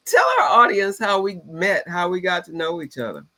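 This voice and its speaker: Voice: mid-range voice, moderate pace, bright, forceful tone, low-quality recording. A podcast host who is getting over a cold, introducing and interviewing a guest.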